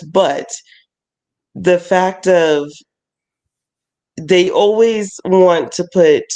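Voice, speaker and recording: A woman who performs at haunted attractions, talking in a relaxed, rambling way with lots of fillers and restarts. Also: low-pitched. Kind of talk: casual chat.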